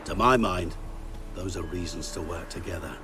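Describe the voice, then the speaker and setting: An actor playing a courteous, cunning and mysterious dealmaker in a video game, speaking in eloquent, theatrical, formal phrases with deliberate pauses. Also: male voice, low-pitched, noisy background, close to an everyday voice. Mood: coolly insistent.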